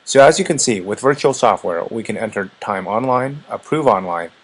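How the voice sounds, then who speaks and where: Male software demo presenter, low register, husky tone, scripted step-by-step walkthrough delivery. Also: animated, moderate pace. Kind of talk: scripted product demo narration.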